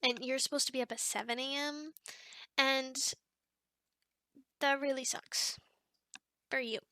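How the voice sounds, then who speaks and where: A woman's voice: high voice, steady tone, unhurried, pushed and bright, no room sound, clean studio sound, heavily acted. A woman voicing a chatty, teasing female sleep paralysis demon in an ASMR roleplay, talking in a casual, rambling, hesitant way. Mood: matter-of-fact, chatty. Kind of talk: ASMR roleplay, one-sided conversation.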